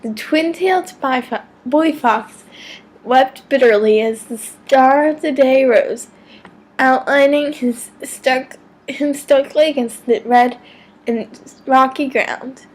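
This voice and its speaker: A woman giving a mock-dramatic amateur story reading, theatrical and deliberate, now and then stumbling over words. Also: sits high, darkish tone, poor audio. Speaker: amateur reader of fan fiction.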